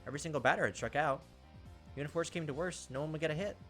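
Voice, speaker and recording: A man's voice: fairly high pitch, rapid-fire, a bit bright, soft background music. A man hosting a gameplay video, reading a visual novel aloud as dramatized, playful narration.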